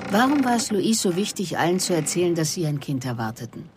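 A low-pitched female investigator in a radio drama. She questions in a controlled, probing way and presses her point. Some background noise surrounds her. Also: sing-song, fast. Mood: insistent. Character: persistent female police investigator questioning suspects. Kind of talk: German radio drama acting.

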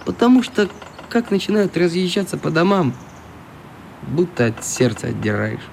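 A man's voice: a little high, normal speed, gravelly. Friendly young boatman, radio play, swingy intonation, chatty and helpful, faint noise. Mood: heartfelt. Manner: colloquial, good-natured. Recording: light steady background noise.

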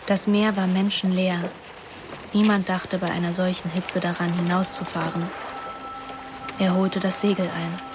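Actress as introspective young narrator, even intonation. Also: female voice, fairly low pitch, noisy background, close to an everyday voice. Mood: ashamed, quiet. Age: young adult. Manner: measured, introspective. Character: young woman recounting love and intrigue, introspective, languid. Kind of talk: literary radio play narration. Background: music.

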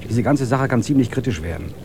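An actor playing a stubborn, determined expedition member in a radio drama, speaking earnestly. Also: male voice, mid-range voice, expressive, brisk, noisy background, lightly acted.

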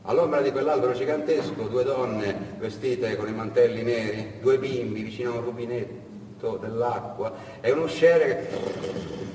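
Casting: male theatre narrator, emphatic and paused, middle register, echoey room with faint noise. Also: mid-range voice, a little mellow. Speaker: actor and storyteller. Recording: echoey hall, distant mic, faint noise.

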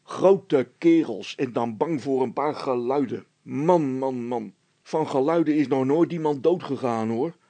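A man's voice: mid-range voice, a little slow, warm tone, no echo. A man voicing a blustering village policeman who stammers, repeats himself and is secretly scared, in a storybook reading. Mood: scornful.

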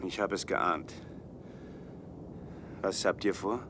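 A man's voice: deep voice, fairly even intonation, takes it slowly, bright, forceful tone, a little hiss or hum, full character voice. An actor playing a troubled, hesitant man on an expedition in a 1970s German radio play. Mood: uneasy, questioning. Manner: hesitant, earnest.

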